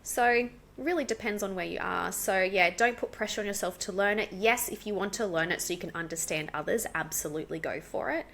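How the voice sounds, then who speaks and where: An Australian woman, an English coach, talking fast and animated as a guest in a casual interview. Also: mid-range voice, gravelly, slight background noise.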